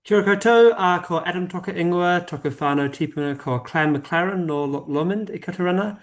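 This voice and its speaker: A man's voice: high voice, brisk. A man working as a climate campaigner, introducing himself on a webinar, hesitant with many ums.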